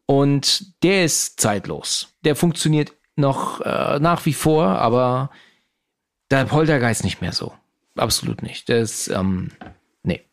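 A man in his forties, a guest on a podcast, talking in a hesitant way with many pauses and filler sounds. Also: mid-range voice, animated, gravelly. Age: 40s. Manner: hesitant, many "ähm" pauses, easy laughs. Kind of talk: casual podcast conversation.

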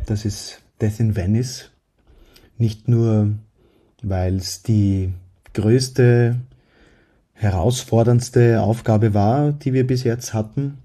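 A man speaking as a choir director, giving a prepared account of his work in a measured, reflective way. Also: fairly low pitch, dark tone. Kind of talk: prepared spoken self-introduction.

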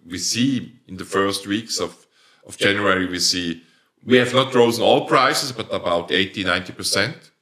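A man's voice: fairly low pitch, normal speed, sharp tone. A man with a Swiss German accent, speaking English as a later language, answering interview questions hesitantly with many fillers and restarts. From Switzerland. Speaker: plant producer, company owner.

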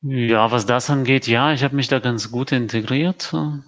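A man from Berlin, a guest in a casual interview, answering in a measured, modest way with hesitant fillers. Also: mid-range voice, fairly bright tone, no echo.

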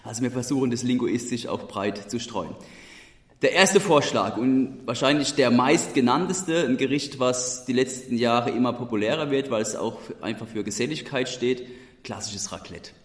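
A man's voice: mid-range voice, moderate pace. Host, male, Odenwald German accent, moderating a wine chat, echoey room with a long tail. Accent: Odenwald German dialect. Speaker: podcast host. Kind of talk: hosted wine podcast conversation.

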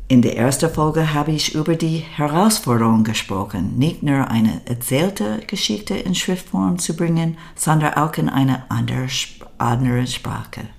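American lady hosting a podcast, really low voice, slow and careful, reading off a script. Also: creaky, a little mellow. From United States. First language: English. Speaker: podcast host.

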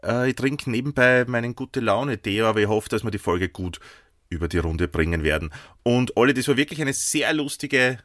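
A man with an Austrian German accent co-hosting a casual podcast, a little hoarse from a sore throat, talking in a chatty, playful way with plenty of fillers. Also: mid-range voice, expressive, a little fast, a bit bright, dry recording, clean studio sound. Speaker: podcast co-host.

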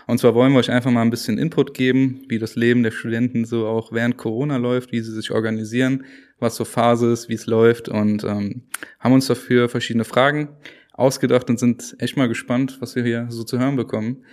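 A young man hosting a casual student podcast, chatty and relaxed, reading out questions with a few fillers. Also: mid-range voice, a little fast.

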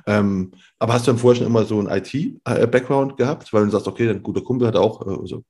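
A man interviewing a guest in casual conversation, asking quick questions that often restart mid-phrase. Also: on the low side, dark tone, a little hiss or hum. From village in Germany.

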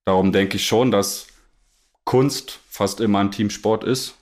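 A man with a fairly low voice talks as a guest musician on a podcast. His speech is casual and conversational, explaining his view in everyday words. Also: fairly even intonation, unhurried.

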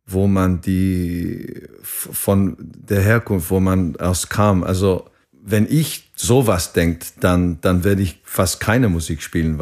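A man. He speaks very slowly as an interview guest, reflecting haltingly and searching for words in a language that is not his first. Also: deep voice, darkish tone. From England. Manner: halting, searching for words in non-native speech.

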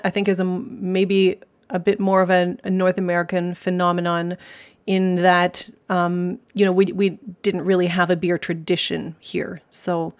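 A Canadian woman in her forties, a beer specialist talking as a guest on a podcast, chatty and thoughtful with many fillers. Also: medium pitch. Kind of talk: podcast interview.

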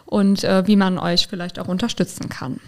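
A woman's voice: fairly low pitch, level tone, moderate pace. A woman hosting a political podcast, friendly and encouraging toward her guest. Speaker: podcast host. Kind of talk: casual interview chat.

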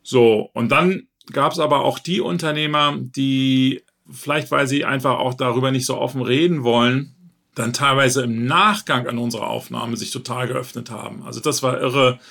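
Man from northern Germany, podcast host as guest. Gravelly, bright tone, chatty. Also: medium pitch, fairly even intonation, moderate pace. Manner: chatty, thinks aloud with fillers. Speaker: podcast host being interviewed. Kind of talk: relaxed conversational interview.

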